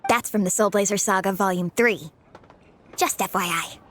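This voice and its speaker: A woman's voice: medium pitch, normal speed, fairly bright tone, a little hiss or hum, natural voice. A woman voicing a bookish, matter-of-fact young woman in a video game, tossing in pedantic asides. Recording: music clearly under the voice.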